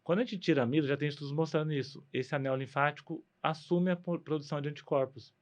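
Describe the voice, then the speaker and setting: A man's voice: a little high, fairly even intonation, fast. A man with a Brazilian Portuguese accent, a guest doctor explaining medical matters conversationally, often posing a question and answering it himself.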